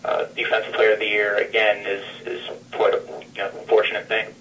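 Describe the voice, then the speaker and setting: A man's voice: on the low side, completely flat delivery, brisk, fairly bright tone, distant mic. A man asking questions as a reporter on a press conference call, over a telephone line.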